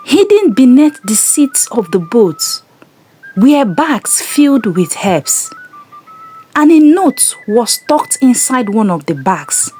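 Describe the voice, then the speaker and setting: A woman narrating a scripted folktale in a measured, dramatic storytelling manner. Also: fairly high pitch, sing-song, warm tone, slight background noise.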